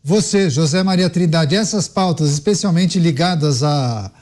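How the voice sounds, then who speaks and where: A man's voice: fairly high pitch, moderate pace, a little mellow. A man hosting a news talk show, putting long, winding questions to his panelists. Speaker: host.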